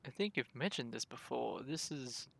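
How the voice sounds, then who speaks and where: A man doing casual live gaming commentary as a streamer, reacting in an animated, easily exasperated way. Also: mid-range voice, moderate pace, gravelly.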